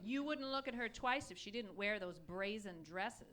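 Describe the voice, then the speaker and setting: A woman's voice: medium pitch, expressive, normal speed, a bit bright, close to an everyday voice. A woman playing a nagging, self-pitying, jealous wife in an old-time radio comedy, insistent, accusing and plaintive. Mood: jealous, scolding. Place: live theatre stage performance.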